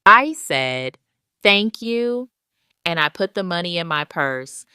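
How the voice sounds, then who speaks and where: A woman reading a scripted first-person story as a wronged, retired wife, in a measured, deliberate storytelling manner. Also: fairly low pitch, sing-song, pushed and bright, clean tone, no room sound, clean studio sound. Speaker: narrator. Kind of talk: scripted first-person audio drama narration.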